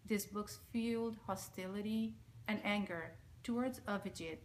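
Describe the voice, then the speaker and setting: A woman's voice: mid-range voice, a little hiss or hum, poor audio. Solemn Bangladeshi woman reading a statement. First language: Bengali. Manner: measured, solemn, steady. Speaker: speaker giving a recorded message. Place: small indoor room, plain wall. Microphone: front-facing camera at close range.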